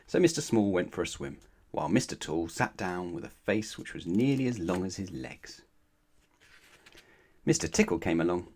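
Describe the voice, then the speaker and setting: A man narrating a children's bedtime storybook aloud in an expressive read-aloud style. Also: low-pitched, moderate pace.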